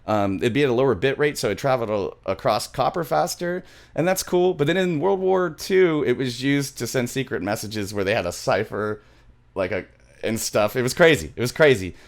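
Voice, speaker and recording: A man's voice: mid-range voice, lively intonation, moderate pace, vocal fry. Rambling, enthusiastic man hosting a tutorial. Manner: rambling, enthusiastic, self-interrupting. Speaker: music production tutorial host. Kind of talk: casual screen-share tutorial chat. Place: small indoor home studio. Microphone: close studio microphone, headphones on.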